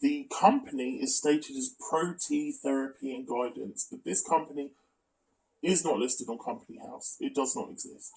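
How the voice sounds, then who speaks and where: A man's voice: medium pitch, normal speed, a little mellow, rough recording. A man giving a critical, matter-of-fact spoken commentary, presenting evidence as in an online exposé.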